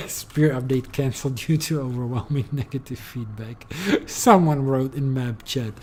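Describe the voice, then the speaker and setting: A man's voice: mid-range voice, measured pace, warm tone, a little hiss or hum. A man streaming a game, talking casually and rambling, with lots of fillers and the odd swear. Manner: rambling, many fillers, loose and profane. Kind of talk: casual gameplay commentary.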